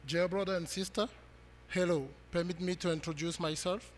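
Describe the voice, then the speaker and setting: Young man, halting podium speech. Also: high-pitched, fairly even intonation, slight background noise. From Cameroon. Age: young adult. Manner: halting, with frequent ums and restarts. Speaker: candidate giving a campaign speech. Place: large conference hall. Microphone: podium microphone. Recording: long hall reverb.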